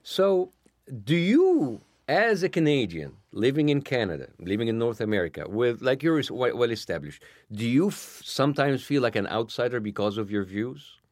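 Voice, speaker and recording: Lively accented male podcast host. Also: moderate pace, no room sound, clean studio sound. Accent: foreign-accented English. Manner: animated, wry, pitch jumping up for emphasis. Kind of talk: scripted podcast narration.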